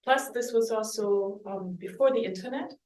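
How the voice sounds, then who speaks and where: A woman from Germany giving an academic book talk as a historian, speaking thoughtfully with pauses and restarts. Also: fairly high pitch, darkish tone, a little hiss or hum. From West Germany. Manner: thoughtful, hesitant, with restarts and ums. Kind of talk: academic lecture with slides. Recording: roomy, mic at a distance.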